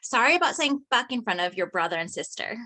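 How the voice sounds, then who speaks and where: A woman playing a brash, excitable, foul-mouthed teenage girl in a drama reading. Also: a little high, quick pace. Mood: cheeky.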